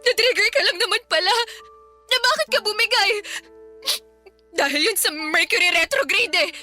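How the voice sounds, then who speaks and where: A heartbroken young woman, pleads with an ex she cannot let go of. Her voice sits extremely high and rings sharp and intense, full of clingy indignation. Soft music plays underneath. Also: normal speed, full character voice. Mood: desperate, agitated. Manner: pleading, emotional, dramatic. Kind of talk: Filipino radio drama acting. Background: background music.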